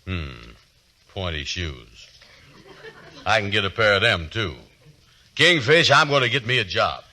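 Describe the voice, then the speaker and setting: A man acting a slow-witted, boastful, lovestruck fellow with folksy, ungrammatical speech in 1940s radio comedy. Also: lowish voice, big pitch swings, bright, forceful tone, full character voice. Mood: determined. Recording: 1940s radio broadcast recording.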